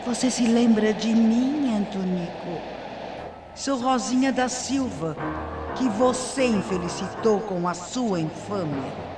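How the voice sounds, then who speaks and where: A woman's voice: on the high side, slow pace. An actress playing the vengeful, grieving ghost of a wronged woman in a radio mystery drama, declaiming bitterly and theatrically. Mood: cold, vengeful. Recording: AM radio archive, loud music behind. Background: loud dramatic music.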